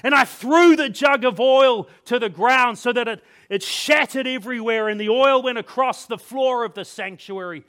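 Proud biblical king , performed by a man: high, forceful voice, emphatic dramatic monologue, quick to wrath. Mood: indignant rage. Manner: theatrical, emphatic, with dramatic pauses. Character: proud, wrathful king of Judah telling his own downfall.

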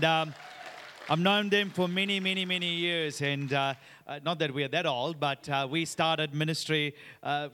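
A man in his thirties, originally from Sri Lanka, gives an upbeat, chatty sermon as a guest pastor. His voice sits high and sounds bright and forceful, and he drops in frequent "uh" fillers. The sound carries the long reverb of a church hall. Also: clear, resonant tone. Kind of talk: sermon with personal story. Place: church auditorium.

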